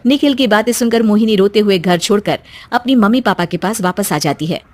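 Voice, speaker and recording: A woman's voice: mid-range voice, a little fast, a little mellow, slight background noise. A woman giving scripted narration for a cartoon story.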